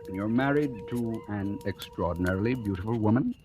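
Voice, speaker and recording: An actor playing a proud, romantic wandering painter in 1940s radio drama style, speaking in measured, poetic, literate phrases. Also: male voice, fairly low pitch, darkish tone, lightly acted. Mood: cool, needling. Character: proud romantic itinerant painter, thoughtful and defiant. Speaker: lead actor and narrator. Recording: old radio recording with music.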